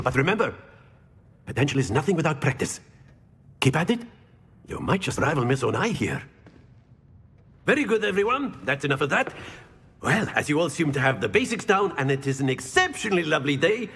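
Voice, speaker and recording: An actor playing a playful, brisk but encouraging professor in a video game, speaking with theatrical flourish. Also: male voice, fairly high pitch, a bit bright, breathy edge, exaggerated voice. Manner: theatrical, flowery phrasing with questioning hums. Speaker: charms professor character.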